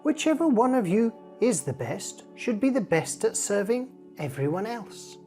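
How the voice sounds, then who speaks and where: A woman reading a story aloud to children in an expressive storyteller's way, acting out the dialogue. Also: fairly high pitch, moderate pace, soft background music.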